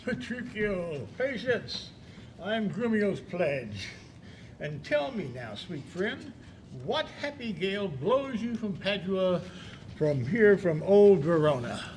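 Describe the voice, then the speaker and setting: A man acting a courteous, lovesick gentleman in a Shakespearean radio play. He has a high voice and a slow, swooping, theatrical delivery, with slight noise behind. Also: full character voice. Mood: concerned. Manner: measured, expressive declamation. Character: courteous, lovesick gentleman friend giving counsel.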